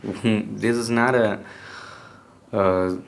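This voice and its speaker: A young man from Iran with an Iranian accent, speaking English as a second language with a native Persian background, telling a personal story in a casual, halting way full of restarts. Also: on the low side, steady tone, creaky, slight background noise. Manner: halting, hesitant English with many restarts and fillers. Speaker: podcast speaker.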